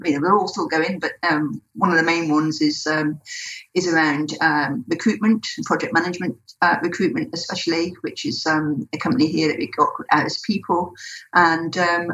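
A woman's voice: low-pitched, a bit bright, lo-fi sound. A woman, a guest talking in a chatty, hesitant way with many ums over a remote line. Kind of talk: casual interview talk.

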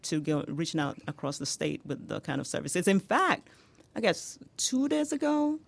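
A woman's voice: fairly low pitch, very animated, moderate pace, creaky. A woman with a Nigerian accent, talking in an interview about her healthcare business, laughing often and using many fillers. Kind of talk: radio interview answers.

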